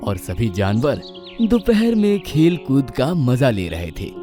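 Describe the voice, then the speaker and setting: Male narrator for animal fables: very sweeping intonation, slow pace, music behind. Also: medium pitch, big pitch swings. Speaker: story narrator. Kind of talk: scripted dramatic storytelling for children's cartoon.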